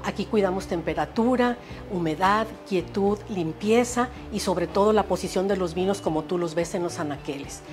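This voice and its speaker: A woman's voice: fairly low pitch, normal speed. An older woman with a Mexican accent, a sommelier explaining her wine cellar in an interview. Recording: music clearly under the voice.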